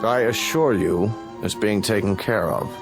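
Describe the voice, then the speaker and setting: The mayor's reserved associate in an audio drama. He questions formally and matter-of-factly in a low voice, with clear music behind him. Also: measured pace. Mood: formal, probing.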